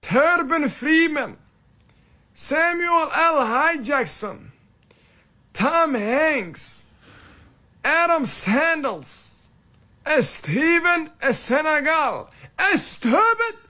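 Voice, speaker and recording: A man in a played clip reading out a comedic list in a deadpan way, with mock outrage at times. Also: extremely high pitch, drawn-out words.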